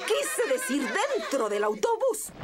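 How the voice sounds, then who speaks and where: Strict cartoon principal, grumpy, exasperated, high voice, very swooping intonation. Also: female voice, huge pitch swings, unhurried, exaggerated voice. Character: stern, grumpy female rabbit school principal, never smiling. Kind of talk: Spanish-dubbed children's cartoon acting. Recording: music behind the voice.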